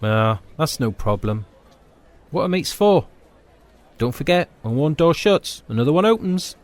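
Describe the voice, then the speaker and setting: Actor as lively, laddish British mate. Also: male voice, fairly high pitch, unhurried, no room sound. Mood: cheeky. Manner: colloquial, matey. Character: laddish, loyal male footballer mate. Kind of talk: full-cast sci-fi audio drama.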